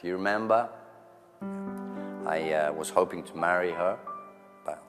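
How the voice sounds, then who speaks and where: A man playing a lovelorn male crooner who speaks in a low, soft, hesitant way. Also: unhurried, long reverb tail, music in the background. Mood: rueful. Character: smooth-voiced lovelorn male crooner, middle-aged. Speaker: singer in a sketch.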